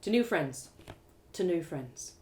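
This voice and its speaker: A woman's voice: lowish voice, animated, takes it slowly, mellow. A woman voicing an eager, plucky young adventurer girl, chatty and earnest, in a told fantasy story. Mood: determined.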